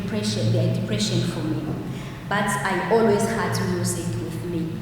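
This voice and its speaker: A woman whose first language is Swahili gives a personal talk at a conference, halting and searching for words with many restarts. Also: fairly low pitch. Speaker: conference speaker. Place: large hall. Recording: echoey hall, distant mic, noisy.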